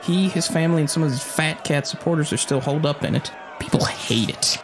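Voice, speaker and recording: An actor playing a cocky, flirtatious acrobat and wisecracking survivor, glib and animated, in an audio drama. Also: male voice, a little high, brisk, music in the background, a crowd in the background, natural voice. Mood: sardonic.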